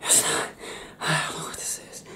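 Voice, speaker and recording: A young man playing a tender, anxious werewolf boyfriend in an ASMR roleplay, breathy and hesitant, speaking intimately up close. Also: moderate pace, sharp tone. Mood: agitated, overwhelmed. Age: young adult. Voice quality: breathy, whispery. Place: small indoor room with pale doors. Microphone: close camera mic, within arm's length. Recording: roomy close-up home recording.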